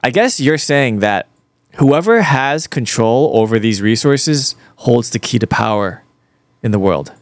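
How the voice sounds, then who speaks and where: A man's voice: mid-range voice, animated, unhurried, no room sound. Rambling man, dry close sound. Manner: rambling, repeats himself, rephrases often. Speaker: host. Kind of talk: casual chat with an AI voice assistant.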